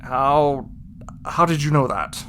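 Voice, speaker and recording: A man's voice: a little high, takes it slowly, a bit bright, slight background noise. A man playing a rich, doting boyfriend in a space-opera audio drama, showy and affectionate. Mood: astonished. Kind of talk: scripted audio drama dialogue.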